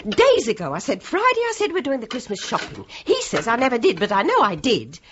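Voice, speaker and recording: An actress playing an exasperated, resentful British housewife in a radio play. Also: female voice, on the high side, huge pitch swings, fairly quick, fairly bright tone, a little hiss or hum. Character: harried, exasperated housewife resentful of her husband's absences. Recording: dull, muffled radio drama recording.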